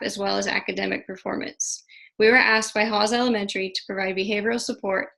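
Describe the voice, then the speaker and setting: Woman teacher w/ a bit of vocal fry reading her part of a group presentation, steady pace. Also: mid-range voice, poor audio. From Ohio. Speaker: special education teacher. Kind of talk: reading a prepared class presentation.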